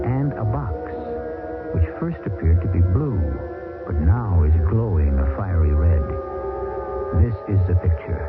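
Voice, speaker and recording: A man hosting an old radio drama, narrating in a measured, ominous style. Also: lowish voice. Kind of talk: theatrical scripted narration. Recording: tinny old radio broadcast.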